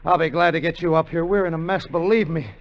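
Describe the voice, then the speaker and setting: A man's voice: fairly high pitch, steady tone, fast talker, natural voice. A man plays a crooked American treasure hunter in an old radio adventure. He is a tough, plainspoken sailor, desperate and greedy. The recording is muffled, with prominent music behind him. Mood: agitated. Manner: tough, plainspoken first-person storytelling. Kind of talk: 1950 radio drama acting.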